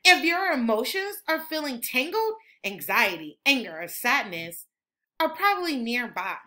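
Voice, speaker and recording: A woman teacher reads a picture book aloud to young children. Her voice is fairly high and bright, with lively swings in pitch. She explains things expressively and instructively. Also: unhurried. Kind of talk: animated children's read-aloud.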